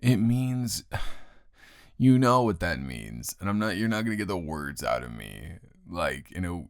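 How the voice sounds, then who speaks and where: A man playing a grumpy, tired young male roommate who is secretly smitten, in a halting, exasperated, intimate improvised audio roleplay. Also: very animated, no echo, exaggerated voice. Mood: exasperated, teasing. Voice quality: very deep, gravelly, close and dry.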